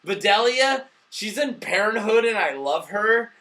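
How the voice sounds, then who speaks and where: Drunk, high-pitched man rambling about movies. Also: lively intonation, a little slow, sharp tone. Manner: rambling, easily losing the thread. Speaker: movie review show host. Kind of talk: casual, profane review banter.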